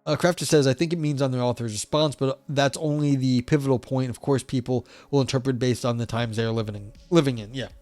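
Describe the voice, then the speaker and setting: A man with a hoarse, scratchy voice reads philosophy passages aloud on a livestream, then drops into relaxed, self-mocking commentary with the odd swear. He is recorded dry and close on a desk mic, with faint music playing underneath. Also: medium pitch, quick pace, hardly any pauses, no room sound. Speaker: streamer reading philosophy text. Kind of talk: casual livestream reading and commentary.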